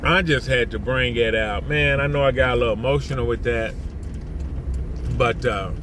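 A man's voice: moderate pace, background noise. An older man, ranting animatedly into a phone camera from his parked car and repeating his points. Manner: animated, indignant, repeats himself, jumps up in pitch when worked up. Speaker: vlogger. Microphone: phone camera at arm's length.

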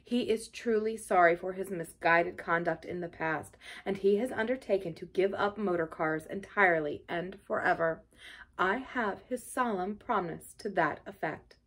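A woman's voice: on the low side, moderate pace, fairly bright tone. A woman voicing a grave, stern but kindly male badger in a storybook, with a formal, oratorical manner. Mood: kindly solemn.

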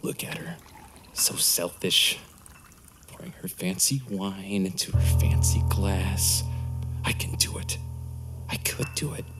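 A man's voice: low-pitched, slow, pauses often. Embittered brooding husband played by a man over music. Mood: seething, unhinged. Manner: intense, embittered. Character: resentful, brooding husband sliding toward madness. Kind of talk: scripted audio horror drama.

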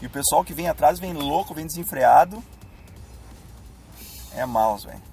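Chatty hoarse southern Brazilian man driving. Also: on the high side, animated, normal speed, warm tone, faint music behind. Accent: southern Brazilian, Rio Grande do Sul. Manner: chatty, addresses viewers directly. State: hoarse with a cold. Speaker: YouTuber. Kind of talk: casual driving vlog chat. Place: inside a car in city traffic. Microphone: camera mounted on dashboard.

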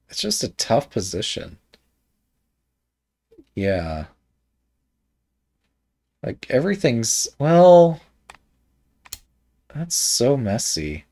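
Slow, musing man thinking aloud. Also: medium pitch, darkish tone. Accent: American Midwest. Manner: hesitant, musing, many ums and trailing thoughts. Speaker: shogi game reviewer. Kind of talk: casual game commentary while thinking aloud.

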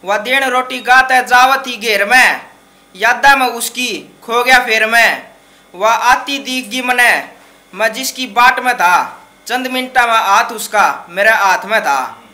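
A man's voice: very high-pitched, slow, bright, forceful tone. A man in his twenties with a Haryanvi accent reciting shayari at an open mic in an emphatic, dramatic way. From Haryana, India. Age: 20s. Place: small dark indoor open-mic stage. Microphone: microphone on stand close in front.

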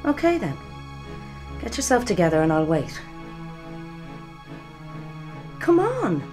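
A nagging, exasperated young woman in an audio drama. The voice is high and swoops with insistent scolding, with music behind. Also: huge pitch swings, moderate pace.

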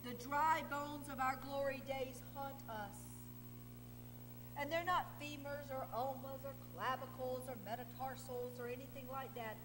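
A woman's voice: sits high, fairly even intonation, unhurried. A middle-aged woman pastor preaching a dramatic sermon from the pulpit, voicing God and the prophet in turn. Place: large church sanctuary. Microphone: far camera at pulpit. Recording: distant reverberant church recording with hum.

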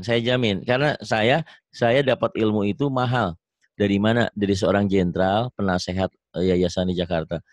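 A lowish-voiced man with a Sundanese accent leads a reading lesson, stretching each syllable long and slow before correcting and praising. The sound is dry and close, with no room echo. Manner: drills syllables slowly, drawn out, corrects and praises. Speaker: Quran reading teacher. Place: home, on a video call.

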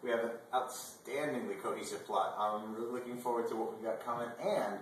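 A man in his twenties co-hosting a video blog, talking casually in a measured, earnest way. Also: mid-range voice. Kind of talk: casual talk to camera. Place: indoor dining room at a table. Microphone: far camera microphone. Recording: echoey room, distant mic, faint noise.

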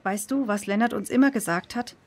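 A woman's voice: medium pitch, fairly quick, a little mellow, natural voice. Sincere, reassuring female friend in a children's radio play acted by a woman. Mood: earnest. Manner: earnest, reassuring.

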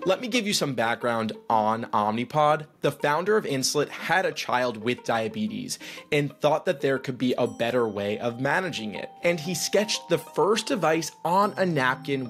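Upbeat man narrating a tech explainer. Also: mid-range voice. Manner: upbeat, explanatory, lightly joking. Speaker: vlog host. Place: small studio room. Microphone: boom arm mic.

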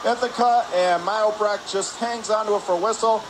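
A man doing live radio play-by-play hockey commentary in rapid, descriptive calls. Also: sits high. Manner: rapid descriptive calls, excited on scoring chances. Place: press area above rink in an indoor arena. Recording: noisy ice arena, steady background din.

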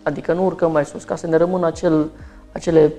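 A man's voice: a little high, flat delivery, warm tone, faint music behind. A man in his thirties from Romania, a barber who explains each step of a haircut while he works, talking through it in a small shop.

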